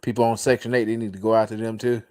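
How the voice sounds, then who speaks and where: A man with a slightly raspy voice joining a casual livestream chat, making quick mocking remarks. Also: on the low side, level tone, a little mellow, no room sound, studio quality. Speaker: stream guest.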